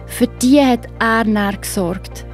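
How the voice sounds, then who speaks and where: A woman's voice: a little high, slow. A woman with a Swiss German accent narrating a scripted Bible story for children. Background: music.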